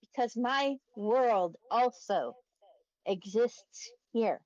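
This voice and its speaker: A woman voicing a small, solemn rodent teacher who speaks in measured, formal, fatherly phrases in a tabletop game. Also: fairly high pitch, lively intonation, a little mellow, dull audio. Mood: grave, patient.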